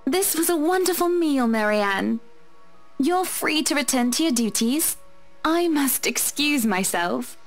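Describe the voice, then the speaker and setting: A woman's voice: high voice, animated, measured pace, soft background music. A woman playing a refined, anxious wealthy young wife in a dramatic visual novel game. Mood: composed, polite.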